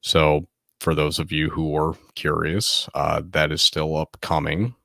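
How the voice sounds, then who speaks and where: A man in his thirties hosting a casual late-night solo podcast from home, speaking softly and close to the microphone in a laid-back, candid way. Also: rumbling low, completely flat delivery, measured pace, creaky, no room sound. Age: 30s. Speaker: podcast host.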